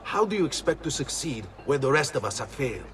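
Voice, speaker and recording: An actor playing an impatient, doubtful ally giving a brisk briefing in a video game. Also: male voice, medium pitch, big pitch swings, a bit bright, a little hiss or hum.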